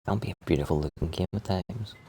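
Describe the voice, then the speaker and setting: A man's voice: low-pitched, fast talker, mellow, a little hiss or hum. A middle-aged man giving casual, blunt gaming commentary with some swearing. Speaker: game streamer.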